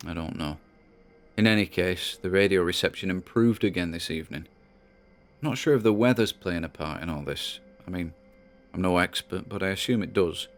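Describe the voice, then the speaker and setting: A man's voice: low voice, close to an everyday voice. A British man playing an obsessed amateur investigator, musing conversationally into an audio diary in a drama. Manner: conversational, musing, muttered asides.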